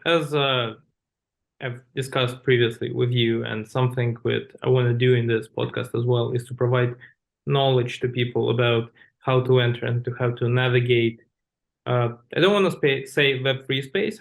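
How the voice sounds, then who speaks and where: Male podcast host with a creaky voice, loose rambling questioner. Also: medium pitch. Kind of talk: podcast interview.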